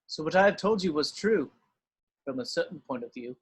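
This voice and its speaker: A man reads the ghost of an aged teacher, calm and full of regret. The voice sits a little above average for a man, reciting film dialogue in a read-through. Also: fairly high pitch, a little fast, slightly rough. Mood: calm, firm. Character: ghostly old male mentor, calm and regretful. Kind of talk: online amateur script table read. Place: home room, on a video call.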